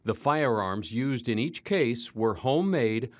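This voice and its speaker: An American man narrates a television news report. His voice sits at a middle pitch, and he delivers scripted copy as a reporter. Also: lively intonation, a little slow, no room sound.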